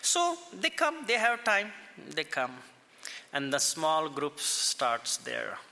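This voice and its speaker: Indian-accented male pastor, slow and halting, bright tone, echoey hall. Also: on the high side, wildly swooping pitch, vocal fry. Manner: slow and halting, with many fillers and restarts. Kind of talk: sermon. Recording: echoey hall, faint ambience.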